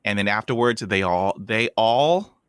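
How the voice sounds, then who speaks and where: A man's voice: on the low side, animated, measured pace, a bit bright, clean tone, no room sound, clean studio sound. A man hosting a podcast interview, talking enthusiastically with an occasional stutter. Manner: enthusiastic, stutters with blocks and repeats. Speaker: podcast host. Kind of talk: casual interview chat.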